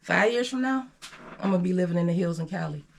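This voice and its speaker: A woman's voice: on the low side, lively intonation, quick pace, gravelly, slight background noise. A woman in her fifties chatting casually and candidly, with a blunt, unapologetic and emphatic way of talking. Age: 50s.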